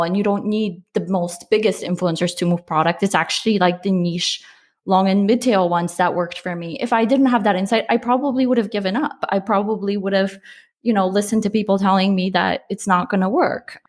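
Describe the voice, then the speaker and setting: A woman from Poland, a startup founder, talking reflectively in a casual interview with frequent restarts and fillers. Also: mid-range voice.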